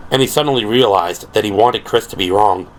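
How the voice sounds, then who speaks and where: A man's voice: on the low side, brisk, a little hiss or hum, poor audio. A man reading scripted, dramatic prose as the narrator of an audio drama. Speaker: narrator and performer.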